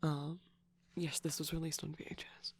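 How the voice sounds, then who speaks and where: A young adult performer playing a shy, nerdy boyfriend in a casual, soft-spoken ASMR ramble, enthusiastic but hesitant. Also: medium pitch, level tone, moderate pace, husky.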